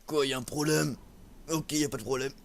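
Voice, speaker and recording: A man's voice: mid-range voice, steady tone, fairly quick, slight background noise, lightly acted. A man playing a cowardly, cheeky orc recruit who grumbles and backs down, in a comic fantasy role-play. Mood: cheeky, grumbling. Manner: grumbling back-talk, then timid backing down.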